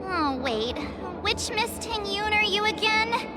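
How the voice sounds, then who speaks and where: Cheeky, bubbly young heroine. Very high voice, unhurried delivery, big game score behind. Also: female voice, heavily acted. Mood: playful. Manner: perky, animated, playfully smug. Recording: loud game music behind.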